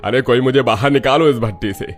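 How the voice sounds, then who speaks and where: An actor playing a distressed, whining, demanding husband in a cartoon story, groaning and complaining. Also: male voice, medium pitch, a little fast, faint music behind, close to an everyday voice. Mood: suffering, irritated. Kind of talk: Hindi cartoon story acting.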